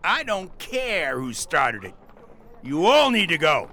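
A man's voice: a little high, huge pitch swings, takes it slowly, sharp tone, full character voice. A man playing a firm, no-nonsense saloon bartender in an audio drama. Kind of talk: radio drama acting.

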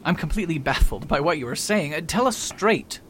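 A man's voice: lively intonation, fairly quick, fairly bright tone. A man playing a pompous, overconfident learned doctor in a radio mystery, incredulous and easily baffled. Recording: faint rumbling background noise.

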